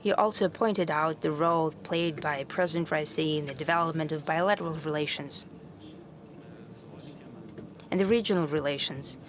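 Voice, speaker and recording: Low-voiced woman interpreting. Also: a little hiss or hum. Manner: measured, formal, occasional restarts. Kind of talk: simultaneous interpretation.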